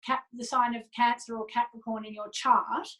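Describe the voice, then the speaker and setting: A woman's voice: a little high, level tone, normal speed, a bit bright, rough recording. A woman speaking as an interview guest, explaining astrology in an earnest, informal and emphatic way.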